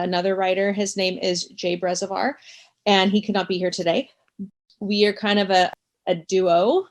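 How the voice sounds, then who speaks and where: A woman being interviewed, telling stories casually in a chatty, expressive and self-effacing way. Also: medium pitch, no room sound. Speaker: interview guest, audio drama writer. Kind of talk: casual conversational storytelling.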